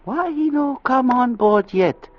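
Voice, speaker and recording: Very high-voiced gentle foreign ship's cook. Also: male voice, expressive, measured pace, soft background music. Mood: wistful, musing. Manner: broken English, hesitant, deferential. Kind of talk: 1940s-50s radio drama acting. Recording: muffled, noisy vintage radio recording.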